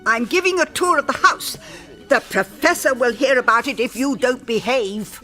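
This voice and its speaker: A stern housekeeper, high-pitched and shrill. She scolds strictly in radio drama fashion, with music clearly behind her. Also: normal speed, pushed and bright. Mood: cross. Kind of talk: fantasy audio drama.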